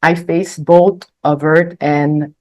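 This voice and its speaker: A woman in her forties delivers a prepared talk as a panelist. Her voice sits low, and the sound is dry and close, with very little room in it. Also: flat delivery, slow pace, darkish tone, dry recording, highs cut off. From Quebec, Canada. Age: 40s. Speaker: panelist, book contributor. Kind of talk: prepared speech read to an audience.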